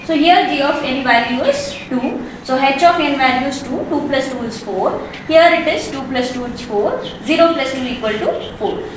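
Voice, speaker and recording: A woman's voice: sits high, moderate pace. A woman assistant professor with an Indian accent, explaining step by step in a hesitant, repetitive way, as in a classroom. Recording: very echoey room, distant mic, noise.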